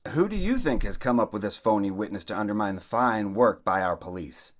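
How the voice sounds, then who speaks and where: Guy doing a crooked blustery mayor for an audio play, bright pushy voice, pompous bully. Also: mid-range voice. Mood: pompous, self-righteous. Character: corrupt, blustering, foul-mouthed male mayor, scheming and bullying. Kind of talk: audio drama acting.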